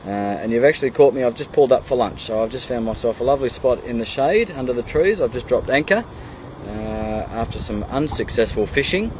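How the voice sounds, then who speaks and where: An Australian man chatting casually as an amateur radio operator, pausing often, recorded outdoors. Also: medium pitch, low-quality recording. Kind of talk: casual radio chat with spelled callsigns. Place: outdoors in a kayak on a creek. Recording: outdoor recording with steady background noise.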